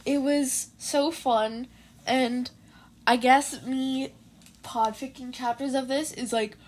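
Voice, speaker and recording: A woman's voice: high voice, steady tone, slow pace, darkish tone. A woman podfic reader narrating fan fiction and chatting informally about it in a slangy way.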